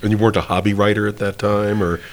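A man with a deep voice hosts a casual interview. He wanders through his questions in a folksy, rambling and self-deprecating manner. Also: flat delivery, fast talker. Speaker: interview host.

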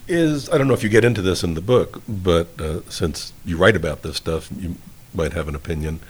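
A man with a deep voice hosts a casual interview. He wanders through his questions in a folksy, rambling and self-deprecating manner. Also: brisk, slightly rough. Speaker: interview host.

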